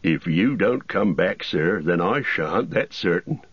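A man's voice: mid-range voice, very animated, normal speed, breathy edge, dry recording, muffled recording, voice pushed up. Sam, a man doing a loyal hobbit servant. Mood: determined. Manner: plain-spoken, devoted.

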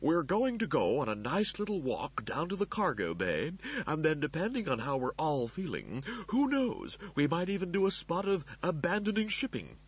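A man's voice: fairly high pitch, sing-song, normal speed, breathy edge, pitched above the performer's own voice, heavily acted. A man voicing a male android servant in an audiobook, overly soothing and fussy while hiding panic. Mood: soothing, suppressed panic. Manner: overly soothing, euphemistic reassurance. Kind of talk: audiobook character voice.

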